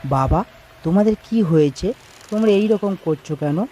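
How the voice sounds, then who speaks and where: A man's voice: fairly high pitch, animated, moderate pace, warm tone, a little hiss or hum, natural voice. A man voicing an earnest, eager village son back from city work in a cartoon story. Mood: pleading.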